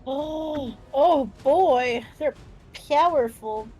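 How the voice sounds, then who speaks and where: A woman's voice: high-pitched, drawn-out words, warm tone, faint music behind, rough recording. A woman playing a tabletop game, casually reacting to what happens. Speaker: player in a tabletop roleplaying game.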